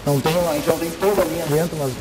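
A middle-aged man describing his furniture store, halting and tagging sentences with questions. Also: on the high side, steady tone, poor audio. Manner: halting, frequent tag questions. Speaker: store manager. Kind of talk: casual promotional chat. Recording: music under the voice.

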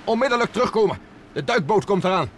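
A man's voice: sits high, expressive, quick pace, fairly bright tone, a little hiss or hum. An actor in a vintage radio play, playing a tense male general who gives urgent orders. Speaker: general leading the mission.

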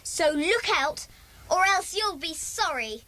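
A woman's voice: very high voice, expressive, takes it slowly, bright, forceful tone, heavily acted. A woman playing a bratty, taunting little sister, sneering and petulant.

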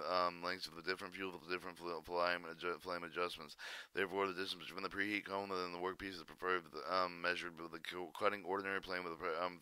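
A man reading a technical manual aloud in a halting way, often stumbling and repeating words. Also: sits low, sharp tone, clean tone, no room sound. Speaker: channel narrator reading a training manual aloud.

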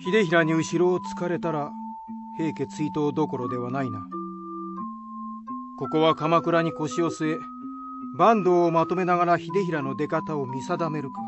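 A man's voice: on the high side, moderate pace, highs cut off, loud music behind the voice, close to an everyday voice. A man acting in a Japanese radio drama as a dignified, composed samurai commander, with lordly, archaic speech. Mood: calculating.

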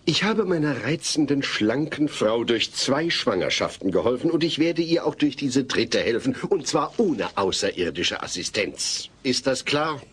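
A man's voice: mid-range voice, very animated, a little slow, few pauses, fairly bright tone, slightly rough, full character voice. A man playing a fussy, dry, put-upon husband and father in a German sitcom radio play. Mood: exasperated.

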